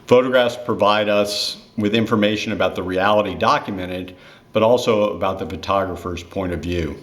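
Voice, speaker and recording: A man with a low-pitched voice reads aloud from a prepared essay of tribute. The delivery is that of formal written narration. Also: normal speed, a bit bright.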